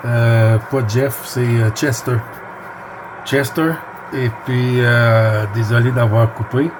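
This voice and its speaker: A man with a Quebec French accent chats warmly over his CB radio, pausing often and hesitating as he talks. His voice sits at an ordinary middle pitch. Clear background noise runs behind him throughout. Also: steady tone. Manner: friendly, hesitant with many pauses. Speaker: CB radio operator, channel host. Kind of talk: casual radio chat. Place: home radio station. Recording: noisy radio-room recording.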